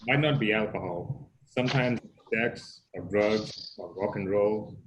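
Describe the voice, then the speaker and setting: Over clear background noise, a man acts as a calm recovery group leader. His lowish voice is patient and probing, in the naturalistic style of a drama table read. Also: a little slow, natural voice.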